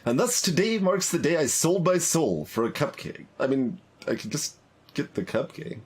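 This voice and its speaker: A man's voice: fairly high pitch, sing-song, moderate pace, husky. A man livestreaming a game, reading its narration and dialogue aloud with casual commentary over music.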